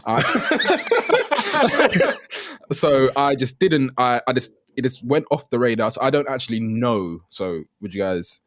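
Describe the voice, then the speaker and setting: A man co-hosting a gaming podcast, blunt and quick to laugh, dropping British slang. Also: medium pitch, big pitch swings, a bit bright, no echo, clean studio sound. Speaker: podcast co-host. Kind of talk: casual chat.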